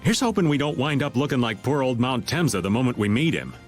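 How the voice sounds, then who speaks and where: Man as laid-back older rogue, wry, medium pitch, animated intonation, anime game, music behind. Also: fast, natural voice.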